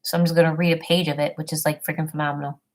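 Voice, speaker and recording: A woman talking casually about history research and then reading an old text aloud haltingly, often stumbling over hard words and names. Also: deep voice, flat delivery, slight background noise. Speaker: self-made history presenter.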